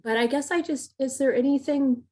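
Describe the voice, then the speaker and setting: A woman caller with a mellow, warm voice asks her question in a halting way. She pauses often and fills the gaps with soft fillers. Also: high voice. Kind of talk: live group call question.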